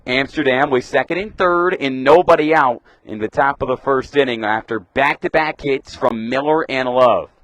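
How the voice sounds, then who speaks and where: A man's voice: medium pitch, normal speed, hardly any pauses, a bit bright, no echo, rough recording. A young man doing live radio baseball play-by-play commentary, describing the action in short clipped phrases. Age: young adult.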